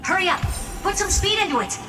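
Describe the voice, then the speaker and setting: Game role: urgent, condescending woman genius, high voice, roomy reverberant sound over music. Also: animated, brisk. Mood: urgent, impatient. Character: brisk, bossy, impatient genius woman scientist. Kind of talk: scripted game dialogue. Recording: echoey, distant voice, music behind.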